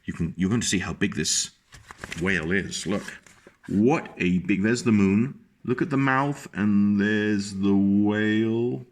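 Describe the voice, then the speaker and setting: A man reading a picture book aloud to children, slowly and gently, stopping often to point out the pictures. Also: lowish voice, slight background noise.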